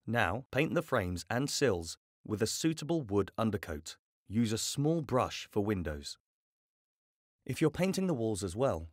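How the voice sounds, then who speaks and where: A man calmly narrating a scripted home-improvement tutorial in a clear, measured way. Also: fairly low pitch, dry recording, studio quality. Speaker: voice-over narrator.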